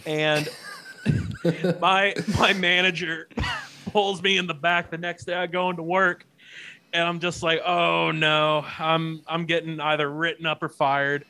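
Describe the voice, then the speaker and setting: A man's voice: a little high, flat delivery, a little slow, bright, forceful tone, slight background noise. A young man telling stories in a casual chat, swearing freely, laughing often and tripping over his words. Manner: profane, loose storytelling with many fillers and restarts. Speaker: podcast co-host.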